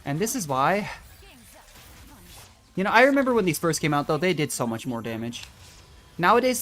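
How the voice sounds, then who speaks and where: A game streamer with a mid-range voice gives casual commentary during play. The talk is musing and thought out loud, over faint music. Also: medium pitch. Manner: musing, thinking aloud. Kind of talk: casual commentary while playing.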